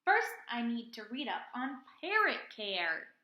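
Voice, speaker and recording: A woman's voice: a little high, sing-song, takes it slowly, reverberant, muffled recording. A woman voicing a bookish, earnest young male rabbit in a storybook, polite and pleading. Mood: mildly protesting.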